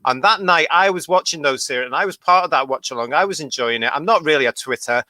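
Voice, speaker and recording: A man's voice: on the high side, quick pace, sharp tone, dry recording. A man talking bluntly and with some grumbling in a live fan podcast discussion.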